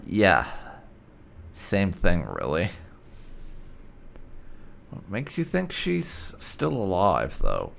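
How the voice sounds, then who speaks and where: A man's voice: low-pitched, huge pitch swings, extremely slow, vocal fry, long pauses, a bit bright, slight background noise. A man hosting a roleplay game video, giving commentary and speaking in character in a wry, musing way. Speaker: gaming video host.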